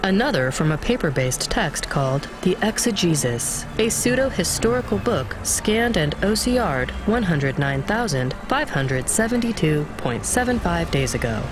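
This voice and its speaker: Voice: mid-range voice, very animated, normal speed, few pauses, music in the background, close to an everyday voice. An actor playing an emotionless AI assistant in a video game, reciting data in a formal, precise way. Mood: clinical. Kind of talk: scripted game dialogue, reading data.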